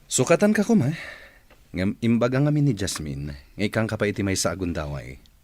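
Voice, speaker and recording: A man's voice: on the low side, big pitch swings, brisk, darkish tone, no echo. A man playing a brash young company boss in an Ilocano radio comedy drama, with an Ilocano accent. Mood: curt, stern.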